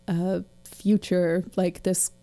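Dark, mellow-voiced woman chatting casually. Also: lowish voice, flat delivery, takes it slowly. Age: late 20s. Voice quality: slightly crackly voice. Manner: chatty, digressive, wry. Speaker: podcast co-host. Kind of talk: casual book discussion.

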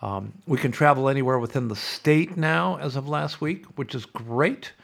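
Middle-aged guy podcasting, stuffy nose, losing his voice, gravelly and sarcastic. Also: mid-range voice, normal speed, a bit bright. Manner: sarcastic, mock-chanting opponents. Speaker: podcast host. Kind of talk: opinionated casual monologue.

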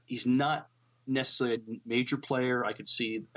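A man co-hosting a podcast, chatting and reading news casually, with a halting delivery that trips on word starts. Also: medium pitch, fairly even intonation, a bit bright. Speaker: podcast co-host.

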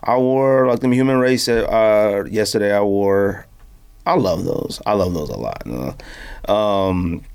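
A man's voice: fairly low pitch, steady tone, a little slow, vocal fry, a little mellow, clear, resonant tone. A man hosting a podcast in casual, slangy conversation, telling stories and acting out quotes. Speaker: podcast host.

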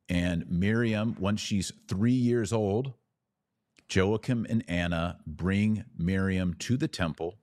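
A man's voice: low-pitched, darkish tone, dry recording. A man hosting a podcast, teaching in a casual, conversational and earnest explanatory way.

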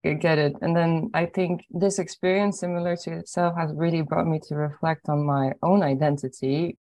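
A low-pitched woman from Poland and Ireland hosts a podcast interview. She speaks warmly encouraging words and breaks off mid-word to rephrase her questions. The recording is dry and close, with no room sound. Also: steady tone, normal speed, dry recording. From born in Poland, raised in Ireland. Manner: encouraging, with hesitant restarts. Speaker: podcast host. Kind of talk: conversational interview.

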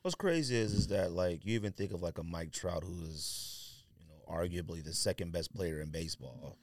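A man's voice: low voice, lively intonation, moderate pace, no room sound. A man co-hosting a casual sports podcast, talking emphatically in slang and repeating himself to press a point. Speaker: podcast co-host.

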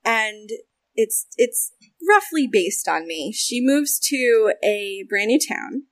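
A young American woman in a casual interview, talking animatedly about voice acting and game development, with frequent laughs and quick asides. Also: on the high side, big pitch swings, no echo.

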